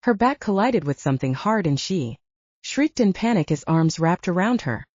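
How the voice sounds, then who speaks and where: A woman's voice: fairly low pitch, big pitch swings, normal speed, darkish tone, no room sound. A woman working as an audiobook narrator, reading fiction in a dramatic, scripted style. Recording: dull, band-limited audio.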